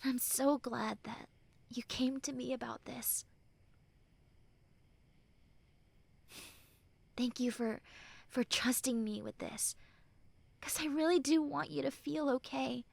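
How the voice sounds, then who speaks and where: A woman acts out a young tsundere friend in an intimate audio roleplay, her high voice moving slowly and bashfully. She is prickly by nature but now tender and earnest, often halting as she consoles. The sound is very dry and close. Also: fairly even intonation, vocal fry, a little mellow, no echo, full character voice. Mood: tender, reassuring. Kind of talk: intimate roleplay audio drama, spoken to the listener.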